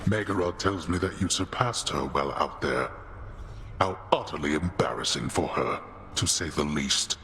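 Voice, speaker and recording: A man's voice: rumbling low, moderate pace, fairly bright tone. An actor playing a stern, scornful father god of the underworld in a video game, speaking in a pompous, commanding way.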